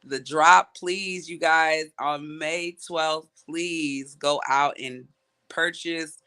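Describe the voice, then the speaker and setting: A woman guest artist wrapping up an interview, plugging her release in a slow, emphatic way. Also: deep voice, fairly even intonation, bright, forceful tone, clean tone. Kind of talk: casual interview chat.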